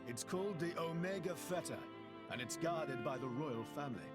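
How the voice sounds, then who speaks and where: A man's voice: a little high, lively intonation, moderate pace, over loud music, natural voice. An actor playing a swaggering, boastful young man in a game cutscene, breezy and colloquial. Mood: matter-of-fact.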